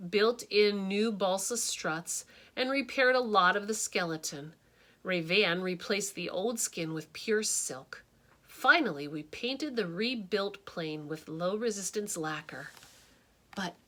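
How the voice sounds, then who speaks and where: Slow, bright woman reading a story. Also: medium pitch. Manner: expressive, adds her own asides. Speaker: storybook reader. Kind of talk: reading a picture book aloud.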